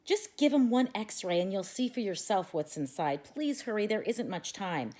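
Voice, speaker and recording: A woman's voice: mid-range voice, expressive, moderate pace, darkish tone, close to an everyday voice. A woman voicing an anxious young schoolboy who pleads earnestly. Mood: pleading.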